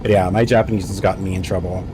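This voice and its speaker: A young man with a lowish voice rambles through travel stories while he games live. He speaks into a headset from a home setup, and a faint hum of game ambience sits behind him. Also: rapid-fire. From United States. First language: English. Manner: rambling, anecdotal, animated storytelling. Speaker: streamer. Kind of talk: casual live-stream chat while gaming.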